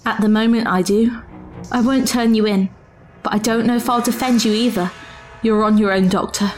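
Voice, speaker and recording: A sharp, proud woman time traveller played by an actress in an audio drama. Her fairly high voice is formal and challenging, full of distrust, with soft music behind. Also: fairly even intonation, moderate pace, close to an everyday voice. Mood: disappointed.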